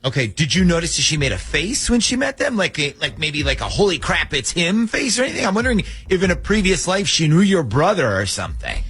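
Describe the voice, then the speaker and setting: A man with an American accent hosting a radio talk show, joking and chatting in a lively, animated way. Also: fairly high pitch, fairly quick, hardly any pauses, breathy edge. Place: radio studio. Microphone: close studio microphone. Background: music bed.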